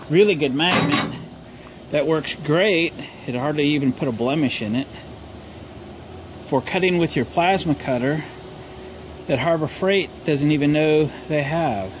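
A man hosting a casual DIY tool demonstration in an informal, folksy way, thinking aloud as he talks. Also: on the high side. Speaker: DIY workshop host. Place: driveway and open garage workshop. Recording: clear background noise, outdoor ambience.

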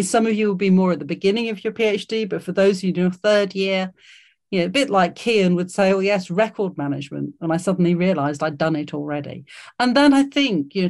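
A woman's voice: on the low side, darkish tone. A woman hosting an online careers workshop over a video call, encouraging and full of fillers and restarts. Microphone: video call mic.

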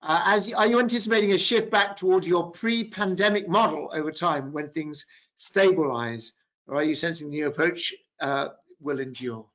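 A man's voice: fairly high pitch, moderate pace, a bit bright. A man in his fifties moderating a business panel discussion over a video call. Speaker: webinar moderator, business professor. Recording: dull video-call audio.

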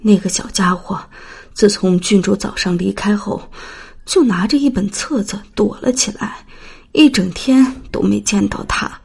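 Woman playing soft-spoken kindly old nursemaid. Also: medium pitch, normal speed, creaky, slightly rough. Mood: cautious. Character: kindly old nursemaid, soothing, cautious, deferential.

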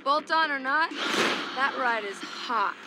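The cool, provocative young woman who eggs on drivers at a game's street race, voice fairly high under blaring music. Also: animated, slow. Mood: cool taunting. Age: young adult. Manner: cool, challenging. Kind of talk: video game cutscene acting.

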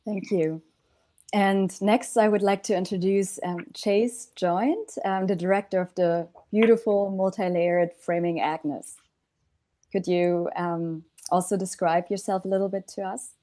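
Mellow German-accented woman moderating. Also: medium pitch, fairly even intonation, measured pace. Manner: welcoming, with frequent ums. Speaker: panel moderator. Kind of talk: moderating a video-call panel. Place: indoor room at home. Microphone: earphones with mic on a video call.

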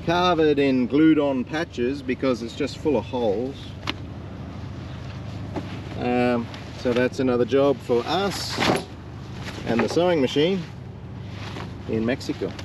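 Easygoing male sailing vlogger, chatty and unscripted, on a camera with clear boat noise. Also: medium pitch. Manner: chatty, easygoing, thinking aloud with fillers. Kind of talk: casual vlog chat. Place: on a sailboat, on deck and in the cabin. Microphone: action camera held at arm's length.

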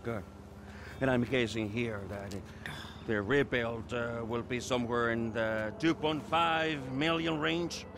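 An actor playing a menacing, condescending middle-aged crime boss in a video game, with deliberate, mocking politeness. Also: male voice, medium pitch, background noise. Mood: coldly condescending. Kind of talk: video game cutscene acting.

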